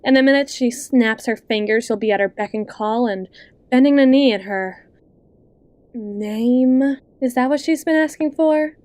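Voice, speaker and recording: A woman plays a sly, possessive best friend in an ASMR roleplay. Her voice is fairly high and soft, and she takes her time over every phrase. She coaxes and talks down to the listener like a hypnotist easing someone under her control. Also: dry recording, close to an everyday voice. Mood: teasing, feigned concern. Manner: slow, coaxing, condescending, with lingering pauses.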